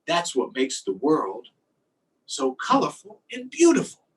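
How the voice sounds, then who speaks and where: Man reading as gentle, wise storybook fish parent. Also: on the high side, wildly swooping pitch, unhurried, distant mic. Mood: warm, wise.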